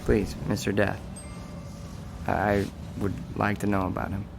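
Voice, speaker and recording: An actor playing a gentle, puzzled young man in a radio drama, speaking earnestly with a hesitant stammer. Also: fairly low pitch, level tone, unhurried, gravelly, over music. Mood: gentle, apologetic. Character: gentle, puzzled man coaxing a frightened woman.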